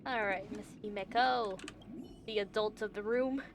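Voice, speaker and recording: A woman's voice: medium pitch, steady tone, moderate pace, background noise. A woman livestreaming a game, chatting casually with her viewers and musing aloud. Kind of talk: casual game stream chat.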